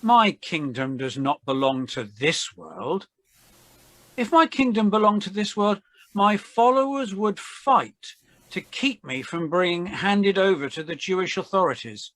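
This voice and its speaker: A calm, solemn Jesus facing his accusers in a dramatized Bible reading, voiced by a woman. The delivery is slow and deliberate, with a widely moving pitch and a dry, roomless sound. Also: dry recording. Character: Jesus, calm, solemn man under arrest and questioning.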